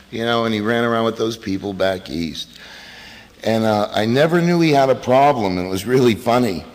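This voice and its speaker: A middle-aged man from the US East Coast, telling his recovery story to a meeting in a blunt, wisecracking way. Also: lowish voice. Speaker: AA meeting speaker. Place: meeting hall. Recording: hall reverb from a PA.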